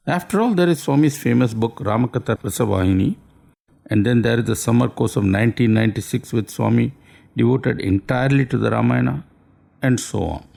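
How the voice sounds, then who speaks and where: Gravelly man. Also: mid-range voice, dark tone. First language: Tamil. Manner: formal, measured, courteous. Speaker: radio speaker. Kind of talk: scripted radio talk.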